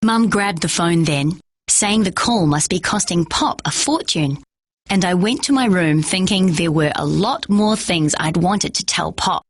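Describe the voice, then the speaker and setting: A woman with a husky voice reading a children's novel aloud in steady first-person narration. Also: on the low side, a little slow, no echo. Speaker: storyteller.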